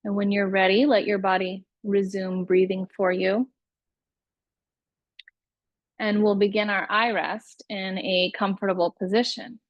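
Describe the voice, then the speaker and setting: Female meditation guide, very slow and soothing, mid-range pitch, close dry recording. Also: level tone, dry recording, muffled recording. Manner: unhurried, soothing instructional delivery with pauses. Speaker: yoga nidra meditation teacher.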